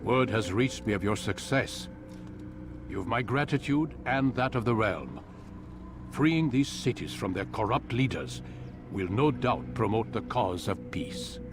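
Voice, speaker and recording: A man voicing a commanding, evasive master of an assassin order in a video game, measured and lecturing. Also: lowish voice, lively intonation. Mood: stately. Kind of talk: video game cutscene dialogue. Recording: music behind the voice.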